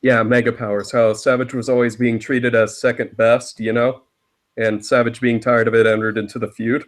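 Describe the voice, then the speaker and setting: A man with a medium-pitched voice makes casual commentary as a guest. His talk is loose and joking, like chatting with a friend. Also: flat delivery, moderate pace. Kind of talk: casual chat.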